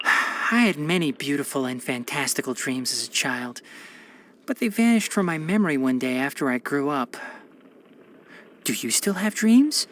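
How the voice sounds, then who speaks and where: A man's voice: on the high side, expressive, normal speed, pauses often, a bit bright, a little hiss or hum. A man voicing a brainy, caring young fox-eared forest watcher in a video game, articulate and bookish with a dryly sardonic manner. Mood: gentle, confiding. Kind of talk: scripted video game character voice lines.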